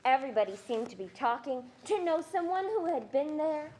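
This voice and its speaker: A woman's voice: sits high, very animated, normal speed, warm tone, slight background noise. A young woman playing a wide-eyed narrator who recalls a frightening childhood scene, in scripted stage narration. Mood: hushed fear. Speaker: student reader in a reader's theatre performance.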